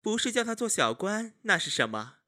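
Man acting a wry, lively, higher-voiced male confidant. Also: normal speed, exaggerated voice. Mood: wry teasing. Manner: wry, articulate, needling. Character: teasing, sharp-tongued male confidant of an imperial official. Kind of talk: Chinese costume audio drama acting.

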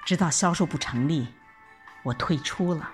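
A woman reading a scripted first-person audio drama narration in an expressive, reflective storytelling manner. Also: low-pitched, normal speed. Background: background music.

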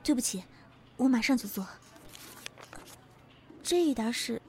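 A woman playing a meek, wounded young office worker in an audio drama, speaking softly and hesitantly. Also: a little high, lively intonation, measured pace, slight background noise. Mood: apologetic. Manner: soft, hesitant, subdued. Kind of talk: modern audio drama acting. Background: faint music.

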